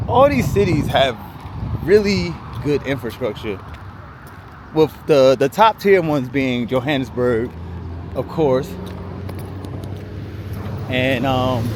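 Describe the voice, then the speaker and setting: A male travel vlogger talks as he walks through town, his voice sitting a little high for a man. He rambles in a loose, chatty way, full of "like" and "um". Street noise and passing traffic come through clearly on his handheld recording. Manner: rambling, chatty, many "like" and "um" fillers. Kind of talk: casual walking travel vlog. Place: outdoors on quiet city streets, walking.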